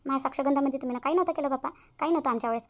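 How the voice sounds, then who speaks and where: Woman acting fast, high-pitched blunt sister-in-law. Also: natural voice. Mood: grumbling. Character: blunt, quarrelsome young sister-in-law at a family engagement. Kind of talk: Marathi family comedy cartoon dialogue. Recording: slightly dull lo-fi audio.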